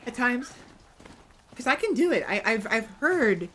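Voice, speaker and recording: Soft-spoken woman with vocal fry. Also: a little high, sing-song, a little slow, slight background noise. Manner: soft, hesitant, self-reflective, many restarts. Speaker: VTuber streamer. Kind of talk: casual chat while livestreaming a game. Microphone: close desk mic.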